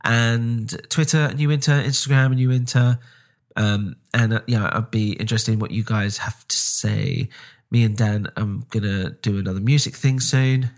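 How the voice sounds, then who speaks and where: A man with a slightly low voice talks through a solo podcast update in a loose, rambling way. He sounds nasal from a cold, and he stumbles over restarts and fillers. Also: fairly even intonation, normal speed. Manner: rambling, frequent ums and restarts. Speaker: podcast host.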